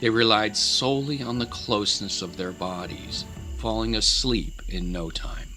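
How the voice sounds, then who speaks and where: A man's voice: fairly low pitch, normal speed, music in the background. A man narrating an audio drama in a dramatic, scripted storytelling style.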